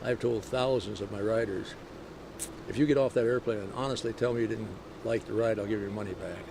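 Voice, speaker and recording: A man's voice: fairly low pitch, fairly even intonation, brisk, vocal fry. A man from an aircraft crew speaking conversationally in an interview. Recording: noisy outdoor location interview.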